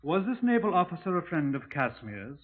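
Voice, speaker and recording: Deadpan police sergeant, tinny radio sound. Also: male voice, fairly high pitch, brisk. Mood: probing. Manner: formal, matter-of-fact, deadpan. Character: brisk, deadpan Scotland Yard sergeant. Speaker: detective and narrator. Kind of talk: 1950s radio drama acting. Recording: thin, band-limited 1950s radio recording.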